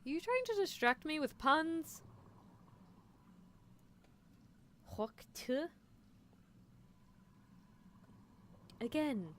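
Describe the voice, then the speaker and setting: A woman's voice: high voice, sing-song, a little slow, fairly bright tone, slight background noise, full character voice. A woman voicing a playfully menacing, teasing female mad scientist in a roleplay ASMR stream, musing theatrically. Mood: mocking, exasperated. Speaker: livestreamer. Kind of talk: roleplay ASMR livestream, answering chat in character.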